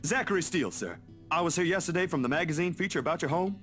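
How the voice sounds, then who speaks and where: An actor playing a polite, nervous young photographer in a visual novel game, hesitant and deferential, stammering a little. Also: male voice, a little high, expressive, quick pace, fairly bright tone. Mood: polite, careful. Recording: guitar music behind the voice.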